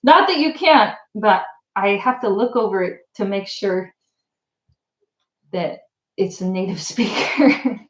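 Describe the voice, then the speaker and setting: A woman's voice: mid-range voice, slow pace, long pauses. Chatty woman livestreaming in an echoey room. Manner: casual, chatty, lots of fillers. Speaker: channel host. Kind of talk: livestream chat answering viewer comments.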